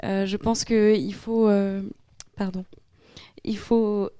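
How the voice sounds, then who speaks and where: Young French woman student in a debate; warm, resonant, halting delivery. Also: mid-range voice, clear, resonant tone, dry recording, studio quality. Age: young adult. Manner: hesitant, many fillers, then animated. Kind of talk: classroom debate.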